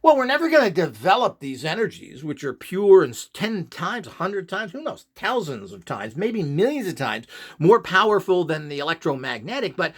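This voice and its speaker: Male instructor with a slightly high, highly animated voice; dismissive, ranting delivery. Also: normal speed, slightly rough. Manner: scornful, ranting, full of rhetorical questions. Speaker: radionics instructor. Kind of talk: opinionated instructional monologue.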